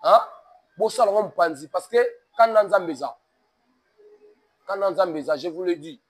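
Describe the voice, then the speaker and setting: High-voiced Congolese man preaching. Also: lively intonation, unhurried, breathy edge. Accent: Congolese French. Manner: emphatic, mixes French and Lingala, sermon cadence. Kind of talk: preaching-toned interview talk.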